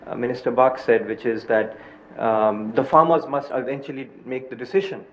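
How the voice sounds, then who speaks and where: A man on a conference panel giving a measured, explanatory answer. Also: medium pitch, fairly even intonation, a little hiss or hum. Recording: dull conference audio.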